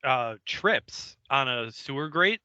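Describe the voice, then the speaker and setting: Bright, crisp-voiced man recapping an episode. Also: medium pitch, expressive, takes it slowly, no echo, lo-fi sound. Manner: loose, joking retelling with many fillers. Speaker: podcast co-host. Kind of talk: casual episode recap chat.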